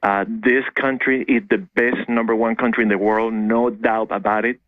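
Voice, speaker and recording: A man's voice: on the low side, level tone, moderate pace, dry recording. Venezuelan man on a tinny phone line. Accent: Venezuelan Spanish. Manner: fervent, emphatic, run-on phrasing. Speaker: caller. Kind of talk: call-in radio, passionate political rant. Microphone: telephone.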